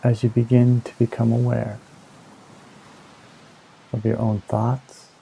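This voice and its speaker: A man leading a guided meditation in a slow, soothing, hypnotic manner, with long pauses between short phrases. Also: medium pitch, completely flat delivery, vocal fry, warm tone, slight background noise, rough recording. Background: ambient new-age music with singing bowls.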